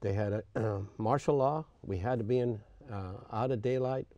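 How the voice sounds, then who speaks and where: An older man from Greece, a retired coach, recounting his life in an interview with a halting manner, often pausing and clearing his throat. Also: mid-range voice, dark tone, no echo, dull audio.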